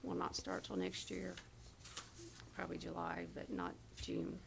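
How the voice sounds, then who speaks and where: A hoarse woman presenting health department budget figures at a county committee meeting, with many fillers and self-corrections. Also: lowish voice, very animated, unhurried, a little hiss or hum. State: hoarse, losing her voice. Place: county government meeting room.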